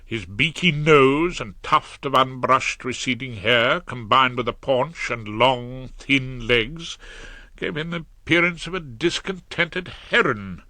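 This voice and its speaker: A man's voice: mid-range voice, slow pace, bright, forceful tone, no echo. A man narrating a comic audiobook in the first person with wry, dryly ironic asides.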